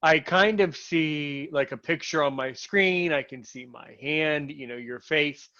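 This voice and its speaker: Animated American man on a muffled call. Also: on the high side, measured pace. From United States. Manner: animated, repeats words and restarts when excited. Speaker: interviewee and show host. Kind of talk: casual conversational interview. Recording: lo-fi online call audio.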